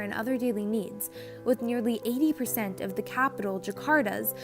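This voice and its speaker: A woman reading a scripted documentary narration in a steady, informative way. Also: fairly high pitch. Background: music.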